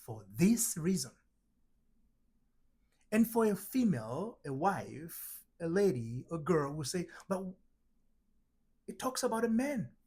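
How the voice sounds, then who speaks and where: A middle-aged man from Tanzania giving a spiritual teaching talk, slow and emphatic, asking rhetorical questions. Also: fairly high pitch, sing-song, a little mellow, breathy edge. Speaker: identity transformation coach.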